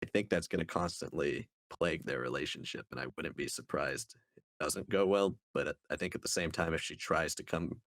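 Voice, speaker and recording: A man's voice: a bass voice, a little fast, a bit bright, no room sound, studio quality. A man co-hosting a podcast, giving his take in a casual review discussion. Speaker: podcast co-host.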